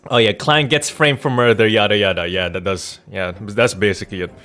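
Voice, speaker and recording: Male streamer, fairly deep voice, loose sweary chat, joking delivery, studio condenser mic, faint music behind. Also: fairly even intonation, fairly quick, fairly bright tone. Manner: rambling, informal, swearing; joking, irreverent. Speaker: game streamer. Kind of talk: casual livestream chat. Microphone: Rode NT1-A studio condenser microphone. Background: background music.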